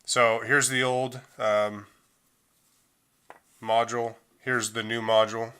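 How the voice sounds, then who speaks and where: A man's voice: medium pitch, takes it slowly, clear, resonant tone. A middle-aged man giving a casual, step-by-step phone repair tutorial at a workbench, talking loosely with plenty of fillers. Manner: relaxed, loose delivery with frequent fillers.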